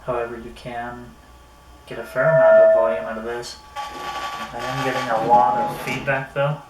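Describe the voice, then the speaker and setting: A man's voice: mid-range voice, flat delivery, normal speed. Echoey, distant man giving a casual review. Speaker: product reviewer. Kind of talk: casual hands-on product review. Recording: roomy echo, distant mic, faint noise.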